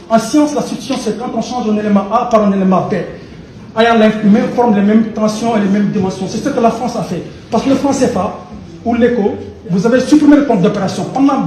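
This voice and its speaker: High-voiced man speaking from far in an echoey hall. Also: warm tone, slight background noise. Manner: insistent, lecturing, stumbles and repeats words. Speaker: pan-African activist. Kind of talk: impassioned audience remarks in a debate. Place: conference hall. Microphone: far from the microphone.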